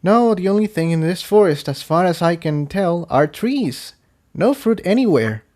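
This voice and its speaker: A man's voice: high-pitched, lively intonation, moderate pace, a little mellow, pitched above the performer's own voice. A man voicing a subdued, practical female winged pony with a plain-spoken manner in a story reading. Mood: tired, resigned.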